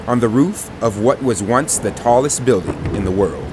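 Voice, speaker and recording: A man's voice: mid-range voice, lively intonation, normal speed, noisy background. A man giving dramatic scripted narration, grave and portentous in delivery. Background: dramatic music.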